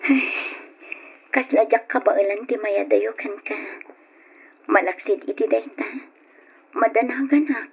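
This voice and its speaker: A creaky-voiced, clingy young Ilocano girlfriend speaks in a plaintive, tender way. It is old radio drama acting on a dull, lo-fi recording. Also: a little high, unhurried, pauses often, full character voice. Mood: worried, pleading. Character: anxious, clingy young girlfriend, affectionate and pleading.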